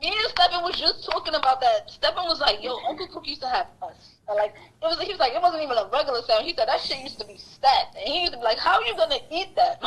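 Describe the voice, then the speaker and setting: A woman chatting as a podcast guest, telling an animated story quickly. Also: high voice, low-quality recording. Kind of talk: casual chat.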